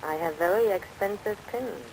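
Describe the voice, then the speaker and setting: Sultry, deadpan saloon woman played by an actress. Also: medium pitch, moderate pace, vocal fry, close to an everyday voice. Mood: coy. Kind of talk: 1940s radio comedy acting. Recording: noisy old radio broadcast, rain overlaid.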